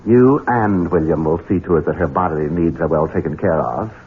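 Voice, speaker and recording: A deep, sardonic villain for a 1970s radio mystery. He is a cold, scheming estate master who orders people about with silky menace. The sound is a dull, noisy old broadcast. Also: a little fast. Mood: smug, sardonic. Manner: sardonic, domineering, softly menacing. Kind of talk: 1970s radio drama acting.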